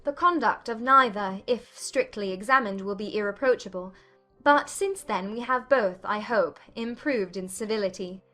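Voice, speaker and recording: A woman playing a frank young gentlewoman in love, with polite formal phrasing, in a dramatized classic romance audiobook. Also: mid-range voice, moderate pace, faint music behind, natural voice. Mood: frank, conciliatory.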